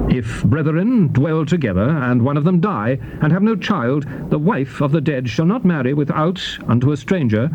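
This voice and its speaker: A man giving a solemn, declamatory dramatized reading of King James scripture in measured phrases. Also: fairly high pitch. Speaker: scripture reader. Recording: dull, noisy old cassette tape transfer.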